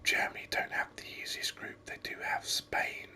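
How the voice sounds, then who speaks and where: Whispering man, crisp ASMR game commentary. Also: moderate pace, sharp tone. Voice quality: breathy, unvoiced whisper. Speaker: gaming video host.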